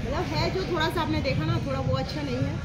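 A woman's voice: high-pitched, fairly even intonation, fast talker, heavy background noise. A middle-aged woman vlogging casually outdoors at night on a phone held at arm's length, chatting directly to viewers. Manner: chatty, talks straight to viewers. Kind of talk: casual travel vlog chat. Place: outdoor cafe and street at night. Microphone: phone camera held at arm's length.

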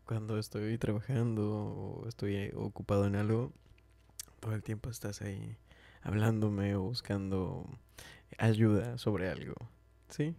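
A man playing a smitten, tender and teasing best friend in an ASMR roleplay, speaking softly and hesitantly, often whispering and repeating himself. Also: fairly low pitch, steady tone, a little slow, dry recording. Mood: gently teasing. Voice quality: soft, breathy, often whispering. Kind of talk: ASMR roleplay, intimate chat.

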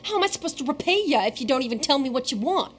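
A scruffy orphan boy off the streets, voiced by a woman, talking tough slang in a high, bouncy voice. The pitch jumps around a lot. This is a radio drama. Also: expressive, very fast. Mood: streetwise.

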